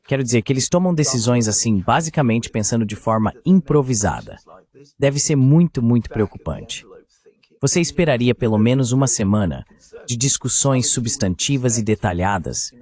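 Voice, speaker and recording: Low-voiced man giving political commentary. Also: a little mellow. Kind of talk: translated voice-over of a political discussion.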